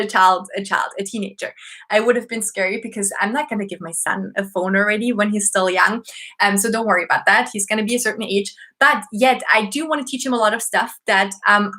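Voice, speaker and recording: A bubbly woman in her thirties with an Austrian German accent chats on a home live stream, her voice bright and a little high. She talks freely, with plenty of fillers and restarts, and sounds like she is speaking from a desk at home. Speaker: YouTuber and business owner. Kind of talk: casual live stream chat.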